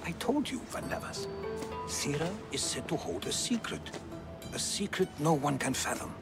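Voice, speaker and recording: An actor playing an eager, insistent old Greek historian in a video game, animated and emphatic. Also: male voice, on the high side, normal speed. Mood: excited, intrigued. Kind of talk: video game cutscene acting. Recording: loud music over dialogue.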